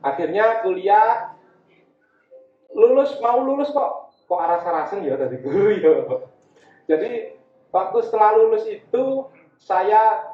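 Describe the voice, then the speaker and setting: A man with an East Javanese Indonesian accent giving an informal talk as a guest speaker, telling personal anecdotes in a conversational way and repeating phrases. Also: a little high. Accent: Javanese Indonesian, East Java. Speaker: guest speaker, community education founder. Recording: dull, echoey, distant from the mic.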